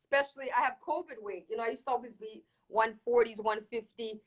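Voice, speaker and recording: A woman's voice: a little high, fast talker, lo-fi sound. Candid woman in casual interview chat. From Toronto, Canada. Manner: candid, emphatic, repeats phrases for emphasis. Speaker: reggae dancehall singer, interview guest.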